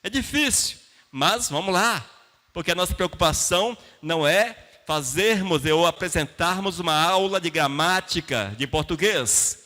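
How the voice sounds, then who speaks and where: High-pitched Brazilian preacher man, echoing hall. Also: sharp tone. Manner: didactic, with rhetorical questions and short emphatic phrases; plain colloquial speech. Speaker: pastor preaching. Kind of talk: sermon to a congregation. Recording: long hall reverb.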